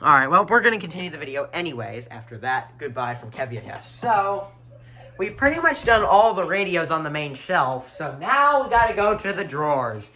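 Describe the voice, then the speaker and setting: A man's voice: a little high, big pitch swings, moderate pace, sharp tone. A young man hosting an off-the-cuff show-and-tell, rambling and repeating himself with blunt opinions. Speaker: video host showing a radio collection.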